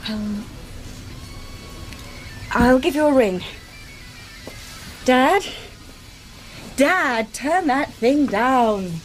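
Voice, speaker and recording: Dry, slow-speaking woman. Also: high-pitched, animated, faint music behind, exaggerated voice, low-quality recording. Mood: exasperated. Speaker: actress in a radio drama. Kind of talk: radio drama acting.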